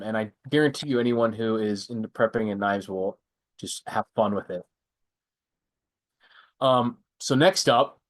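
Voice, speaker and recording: A young adult man with a stuffy, congested voice, hosting a casual live stream and rambling through product picks with frequent self-corrections. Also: mid-range voice. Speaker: prepper channel host.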